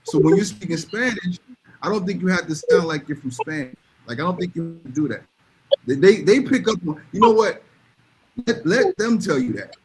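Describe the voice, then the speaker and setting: A man's voice: high voice, expressive, moderate pace, a little mellow, slightly rough, poor audio. A man talking casually and bluntly, giving emphatic opinions and repeating phrases to drive points home. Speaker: podcast panelist.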